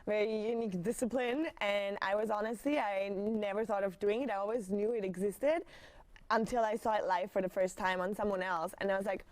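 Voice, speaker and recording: A woman's voice: mid-range voice, steady tone, fairly quick, darkish tone, no room sound. A young woman from Austria, talking in a casual interview and speaking hesitantly with many fillers and restarts. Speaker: studio guest, circus performer.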